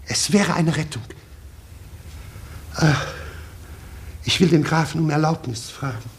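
An actor playing an agitated, excitable serf theatre director who is easily panicked and quick to scold, in 1950s German radio drama style. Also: male voice, a little high, a little hiss or hum. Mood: anxious.

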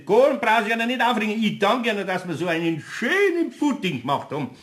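A man's voice: sits high, very animated, quick pace, a bit bright. An actor playing a good-natured older Bavarian master craftsman in a radio play, speaking homely dialect, firm but kindly. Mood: reassuring. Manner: folksy, homely dialect talk. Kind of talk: German radio play acting.

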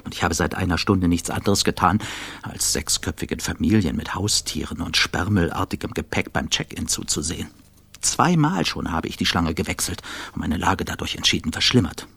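An actor playing a jaded, grumbling traveller in his forties in a radio drama monologue, gravelly, dry and sardonic. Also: low-pitched, animated, moderate pace, a bit bright, no room sound, full character voice. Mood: jaded, annoyed. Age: 40s. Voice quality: husky, gravelly. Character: jaded, grumbling 48-year-old male air traveller.

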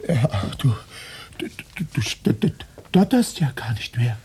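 A man's voice: mid-range voice, very animated, measured pace, a little mellow, slightly rough, exaggerated voice. An actor in a German radio play, playing a terrified, neurotic man who stammers when he is afraid. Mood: frightened. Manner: stammering, nervous. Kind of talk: 1990s radio play acting.

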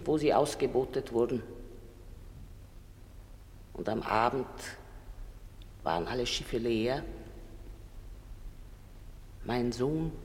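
A woman playing a dead fishwife and grieving mother in a theatrical verse drama, solemn, measured and plain-spoken. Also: low-pitched, a little mellow. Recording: long hall reverb.

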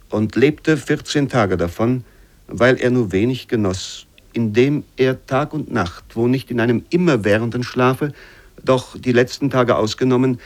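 A man reading literary prose for radio in a measured, formal storytelling manner. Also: mid-range voice, natural voice. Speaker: reader of literary excerpts.

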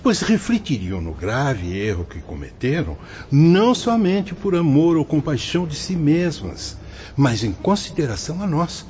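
A man acting as a wise, fatherly spirit guide in a radio drama, patient and solemn, explaining in formal, measured phrases. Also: on the high side, very animated, a little mellow, slight background noise. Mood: compassionate. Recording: dull lo-fi audio with music behind.

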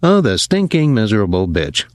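A man's voice: lowish voice, big pitch swings, moderate pace, dry recording, studio quality. A man voicing a blunt, short-tempered father in an audiobook, curt and plain-spoken with a bitter edge.

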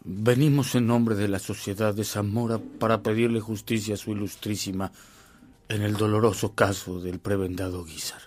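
An actor playing a formal, firm but respectful lawyer with an oratorical manner in a radio drama. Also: male voice, fairly low pitch, flat delivery, moderate pace, darkish tone, natural voice. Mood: formal pleading. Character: formal, deferential yet firm male lawyer pleading a case.

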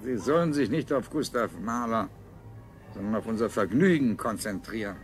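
An actor playing a callous, domineering colony ruler in a radio play, formal, deliberate and dispassionate. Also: male voice, on the low side, lively intonation, faint music behind, lightly acted. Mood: callous, matter-of-fact. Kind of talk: 1970s German radio play acting.

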